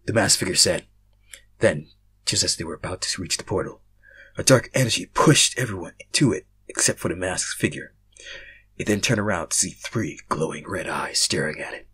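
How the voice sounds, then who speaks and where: A young man reading fan fiction aloud, casual in his chat and theatrical in his narration, swinging between hushed and loud. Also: sits low, a little hiss or hum. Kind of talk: casual intro and dramatic story narration.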